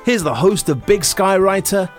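A man's voice: high-pitched, normal speed. A man reading a scripted podcast introduction as the announcer, with guitar music behind him.

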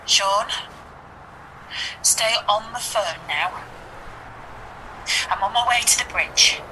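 An actress playing a concerned, persistent detective inspector in an audio drama, coaxing and questioning in a measured way. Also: female voice, medium pitch, bright, forceful tone, slight background noise, poor audio. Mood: urgent, reassuring.

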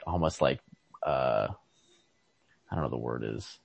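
Man, low-pitched voice, casual literary chat, halting phrasing, dry lo-fi home recording. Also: gravelly, no room sound. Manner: halting, searching for words. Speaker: podcast host.

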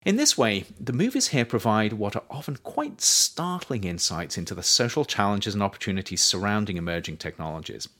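A man reading his own nonfiction book aloud as scripted audiobook narration, with measured, emphatic phrasing. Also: on the low side, big pitch swings, a bit bright.

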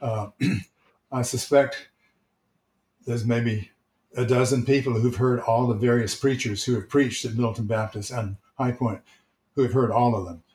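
A church elder shares memories in an unhurried, gentle way, his mid-range male voice steady and warm in delivery. The recording carries a noticeable room echo around him. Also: mid-range voice. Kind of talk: casual storytelling. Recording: roomy, echoey sound.

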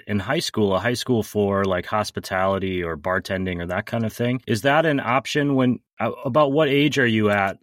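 Low-voiced man hosting a podcast. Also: no room sound, studio quality. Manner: rambling questions with restarts. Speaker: podcast host. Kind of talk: casual interview questions.

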